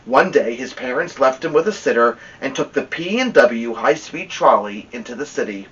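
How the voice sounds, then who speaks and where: A man reading a children's novel aloud as an expressive storyteller, with dramatic pauses and drawn-out emphasis on key words. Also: mid-range voice. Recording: dull lo-fi sound, distant from mic.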